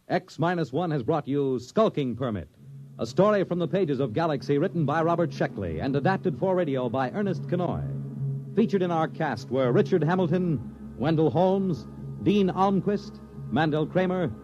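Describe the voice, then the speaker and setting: A man's voice: fairly high pitch, animated, normal speed. A man announcing in a dramatic 1950s radio style. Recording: dull vintage radio recording. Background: music.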